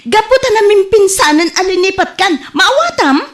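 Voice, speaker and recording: An actress with an Ilocano Filipino accent playing an exasperated, sharp-tongued woman turning down suitors, emotional and theatrical in a radio comedy drama. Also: very high-pitched, animated. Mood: scornful. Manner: theatrical, emotional, drawn-out delivery.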